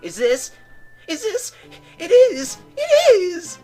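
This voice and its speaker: A man voicing a huge clown man in a video game, gushing and squealing loudly. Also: very high-pitched, huge pitch swings, takes it slowly, faint music behind, voice pushed up, exaggerated voice. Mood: overjoyed.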